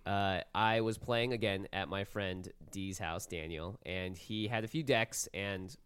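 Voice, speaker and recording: A man co-hosting a podcast, telling anecdotes in a casual, informal and animated way. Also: sits low, a little slow, clear, resonant tone. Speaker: podcast co-host. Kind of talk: casual storytelling chat.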